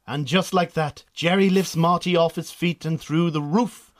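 A man's voice: on the high side, fairly even intonation, moderate pace. A man with a Northern Irish accent narrating a scripted radio drama.

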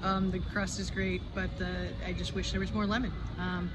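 A woman's voice: mid-range voice, level tone, moderate pace. A young woman reviewing food in a casual vlog, chatty and giggly with self-conscious asides, talking to her phone camera on an outdoor restaurant patio. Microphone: handheld phone selfie camera. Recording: loud outdoor noise. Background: outdoor park ambience, passing train.

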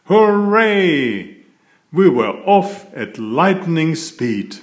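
A man reading a children's picture book aloud in a deliberate, expressive storytelling manner. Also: fairly high pitch. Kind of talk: scripted children's storybook narration.

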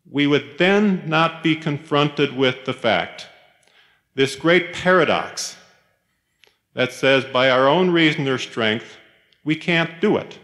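A man, a professor, giving a reflective, sermon-like chapel talk in a measured storytelling manner, with pauses. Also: on the high side, fairly bright tone. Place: large chapel, speaking to a congregation. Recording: reverberant chapel hall, 1990s cassette tape.

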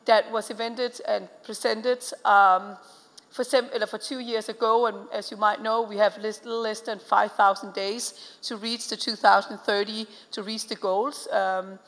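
A middle-aged woman with a Danish accent speaks on a conference panel in a slightly high, gravelly voice. She talks earnestly, pausing on fillers and restarting phrases. Her voice rings with the long reverb of a large hall. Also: a bit bright. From Denmark.

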